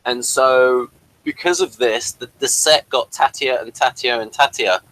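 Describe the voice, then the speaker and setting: Bright-voiced man, halting and rambling. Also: mid-range voice, steady tone, no room sound, low-quality recording. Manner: halting, rambling, repeats and restarts phrases. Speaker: podcast co-host. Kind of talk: casual fan discussion with behind-the-scenes trivia.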